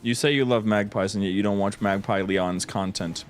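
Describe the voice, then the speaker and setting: A man hosting a gaming livestream with casual, jokey commentary that runs to excitable exclamations. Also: fairly low pitch, normal speed, soft background music. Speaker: livestream host playing a game.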